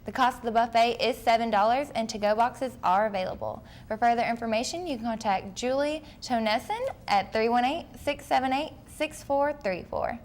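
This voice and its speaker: A woman's voice: fairly high pitch, moderate pace, hardly any pauses. Upbeat young woman anchoring student news. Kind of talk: read news announcements. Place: college TV studio.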